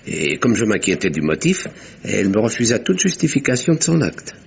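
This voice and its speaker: An actor playing a composed, wry village doctor who narrates a 1950s-style French radio mystery in measured, literary, husky tones. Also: male voice, on the low side, very animated, slight background noise. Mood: confiding. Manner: measured, dryly ironic. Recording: dull vintage radio sound.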